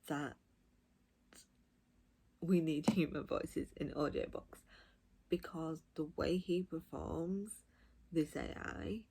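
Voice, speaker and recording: A woman giving a casual, unscripted review of audiobooks, gushing and emphatic, speaking in short, halting phrases. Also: low-pitched, mellow, husky, rough recording.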